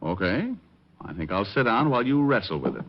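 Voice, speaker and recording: Wisecracking private eye, low voice, dry, teasing, hardboiled, muffled old radio recording. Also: male voice, big pitch swings, fairly quick. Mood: flippant. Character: flippant, wisecracking male private detective. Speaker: lead actor and narrator in radio crime drama. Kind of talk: 1940s radio acting, hardboiled narration.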